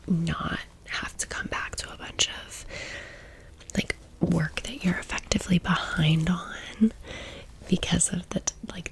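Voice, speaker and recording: A woman's voice: on the low side, fairly bright tone, poor audio. An American woman in her thirties whispering a personal story as an ASMR host, chatty and confiding, drifting into asides.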